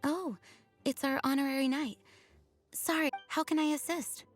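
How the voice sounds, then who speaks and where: A woman acts a young female knight commander in an anime game, dutiful and overworked. Her voice is high, with formal, composed phrasing over faint music. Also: fairly even intonation, moderate pace, natural voice. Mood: weary, polite. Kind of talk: anime video game voice acting.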